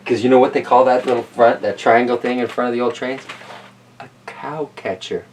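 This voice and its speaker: A man's voice: medium pitch, steady tone, fairly quick, distant mic, a little hiss or hum. A man reading a children's story aloud, with casual, folksy, rambling asides as host.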